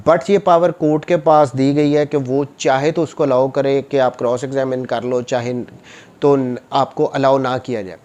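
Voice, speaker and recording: A man with a Pakistani accent giving an informal law lecture, mixing in English and slowing deliberately when reading legal text. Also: mid-range voice, darkish tone. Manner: switches between Urdu and English, reads legal text slowly and deliberately.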